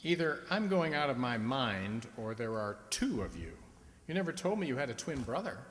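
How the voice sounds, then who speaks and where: A man's voice: mid-range voice, very animated, normal speed, close to an everyday voice. A man acting in an amateur radio drama as a nosy, incredulous friend with a hesitant, disbelieving manner. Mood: astonished, disbelieving. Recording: echoey hall, faint background noise.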